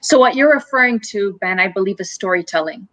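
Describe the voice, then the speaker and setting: A woman's voice: medium pitch, lively intonation, brisk, a bit bright, no echo, low-quality recording. A woman originally from Romania, a company CEO, speaking as a guest on a live-streamed interview and explaining her ideas earnestly, often listing points one after another.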